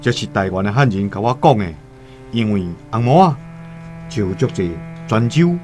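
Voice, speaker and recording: An actor playing a reflective seafaring trader in a radio drama, with a Taiwanese accent and measured storytelling. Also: male voice, on the low side, animated, darkish tone, natural voice. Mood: grave, explaining. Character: male seafaring trader, reflective and matter-of-fact. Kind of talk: radio drama monologue and dialogue. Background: faint music.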